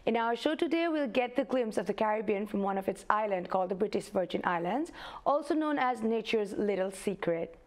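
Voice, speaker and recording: A woman's voice: mid-range voice, animated, fairly quick. A woman from Nepal, hosting a radio interview in a chatty way, with fillers and laughs. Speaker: radio host. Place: radio studio.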